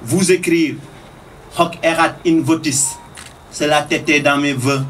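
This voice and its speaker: A man reciting a poem of tribute in a solemn, oratorical manner with measured phrasing. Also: on the high side, steady tone, fairly bright tone. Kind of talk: declaimed poetry recital. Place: live recital at a public tribute event. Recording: distant microphone with faint noise.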